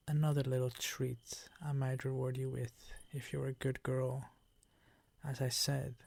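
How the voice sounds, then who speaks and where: Man acting a slow, creaky, seductive vampire count. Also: medium pitch, darkish tone, no echo. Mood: smug, seductive. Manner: slow, teasing, commanding, theatrical formal phrasing. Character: seductive, predatory male vampire count, ancient and possessive. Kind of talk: ASMR roleplay acting.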